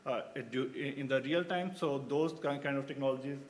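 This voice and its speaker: A man's voice: mid-range voice, a little fast. A man in his thirties from Pakistan, a researcher giving a conference talk, with frequent fillers and restarts. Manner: hesitant, many fillers and restarts. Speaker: researcher presenting at a symposium.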